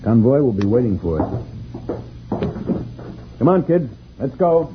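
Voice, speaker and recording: Steady spaceman guide, tinny old radio. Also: medium pitch, measured pace, over loud music. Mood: grave, protective. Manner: steady, reassuring, explanatory. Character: reassuring adult male space guide from another planet. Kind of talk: vintage radio science-fiction serial acting.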